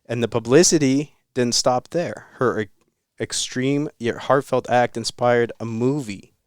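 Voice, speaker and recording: A man's voice: mid-range voice, a little slow, clean tone, dry recording, studio quality. A man hosting a casual podcast, recounting a story in a loose, rambling way with casual swearing. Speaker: podcast host.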